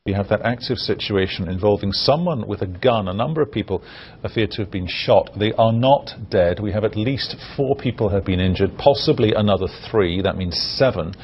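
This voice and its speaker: A man's voice: fairly low pitch, a little fast, slightly rough. A man presenting rolling breaking news as an anchor, on muffled lo-fi audio. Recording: muffled lo-fi broadcast with faint noise.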